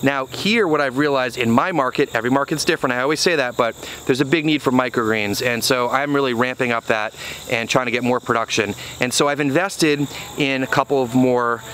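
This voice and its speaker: A man's voice: mid-range voice, fairly quick, fairly bright tone, slight background noise, rough recording. A man in his thirties, a farmer and vlogger, talking casually and earnestly to the camera outdoors on his farm. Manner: earnest, conversational, many "you know" fillers. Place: outdoors on a small farm field. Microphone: camera mic at arm's length, then on a tripod.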